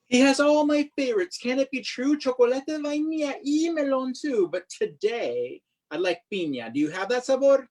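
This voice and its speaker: An author, perhaps in their thirties or forties, reads their own picture book aloud to children in an animated, expressive way, with asides. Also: sits high, moderate pace, hardly any pauses. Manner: expressive, sing-song on refrains, commenting between lines.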